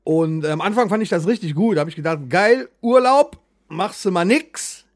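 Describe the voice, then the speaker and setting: A German man with a noticeably high-pitched voice chats as a podcast co-host. His manner is colloquial, blunt and jokey. The sound is dry, with hardly any room on it. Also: lively intonation, moderate pace, no echo. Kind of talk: casual podcast banter.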